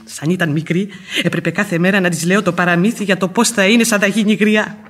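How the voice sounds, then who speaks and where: Husky, outspoken old housekeeper played by an actress. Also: female voice, medium pitch, fairly even intonation, natural voice. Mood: fond complaining. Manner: chatty, folksy, talking back with proverbs. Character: outspoken, grumbling Spanish village housekeeper, old nanny. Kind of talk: Greek radio theatre acting.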